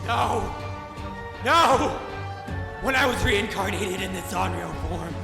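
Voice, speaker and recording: An actor playing a vengeful, theatrical ghost villain in an audio drama, pompous, melodramatic and given to petulant ranting. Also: male voice, very high-pitched, creaky, exaggerated voice. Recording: echoey reverberant hall effect under loud music.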